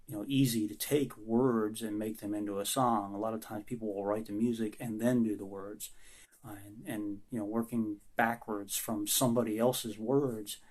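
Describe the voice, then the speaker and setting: A man who is losing his voice answers interview questions about writing song lyrics, speaking in a halting, thoughtful and sincere way with many pauses. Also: on the low side, a little mellow. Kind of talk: recorded self-interview answers.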